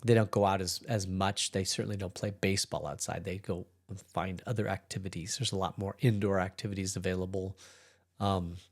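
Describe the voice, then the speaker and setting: A middle-aged pastor with a hoarse, congested voice, leading a relaxed, rambling Bible study. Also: male voice, sits low, fairly quick. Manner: relaxed, rambling, chuckles between thoughts. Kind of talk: casual daily Bible study talk.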